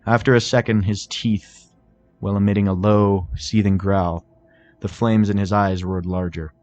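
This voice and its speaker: A man's voice: on the low side, fairly even intonation, moderate pace, a little mellow, lo-fi sound. A man reading fan fiction aloud as a scripted story narrator. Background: music.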